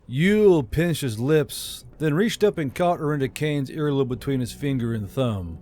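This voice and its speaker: A man's voice: medium pitch, lively intonation, moderate pace, a little mellow. A man reading scripted fantasy fiction as an audiobook narrator. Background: faint music.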